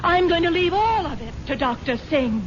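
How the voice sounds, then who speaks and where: An American actress playing a trusting but stubborn wealthy wife in a 1970s radio drama, earnest and theatrical. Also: female voice, very high voice, lively intonation, moderate pace, loud music behind the voice. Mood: indignant, defiant. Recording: dull, faintly noisy vintage radio broadcast.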